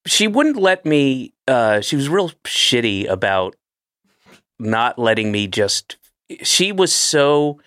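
A man in his late fifties chats casually as a podcast host, wandering through stories with self-mocking asides. His voice sits at an ordinary pitch. The sound is close and dry, with no room around it. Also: big pitch swings, takes it slowly, a bit bright, dry recording. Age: 50s. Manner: rambling, self-deprecating, trails off and restarts. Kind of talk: casual chat.

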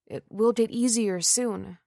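Woman reading a deluded obsessive man, soft and imploring, slow, dry close read. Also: a little high, vocal fry, dry recording. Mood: pleading.

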